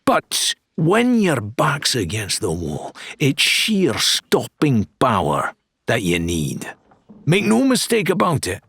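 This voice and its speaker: Grandiose pirate salesman swindler for an audiobook, slow showy delivery, pitch all over, slightly husky. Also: huge pitch swings, a bit bright, dry recording, exaggerated voice. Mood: grandiose, persuasive. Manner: theatrical, patter-like salesmanship with drawn-out flourishes. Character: grandiose, blustering male swindler of a pirate weapons dealer. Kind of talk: dramatised audiobook character dialogue.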